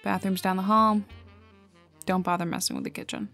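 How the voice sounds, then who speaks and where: A woman's voice: mid-range voice, a little fast, vocal fry, fairly bright tone, no echo. A woman playing a sarcastic female private detective in a noir ASMR roleplay, with a dry, casually streetwise delivery. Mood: casual, dryly hospitable. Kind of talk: noir ASMR audio roleplay, intimate second-person acting. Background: music, rain and city ambience.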